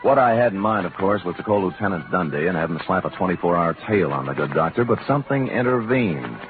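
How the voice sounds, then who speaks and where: An actor playing a wry, tough private detective in 1940s radio noir style, with hard-boiled, wisecracking delivery. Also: male voice, low voice, expressive, fast. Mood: wry narrating. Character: wry, tough male private detective narrating his case. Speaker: lead actor and narrator. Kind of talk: 1940s radio noir acting, first-person narration. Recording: tinny old radio broadcast with music.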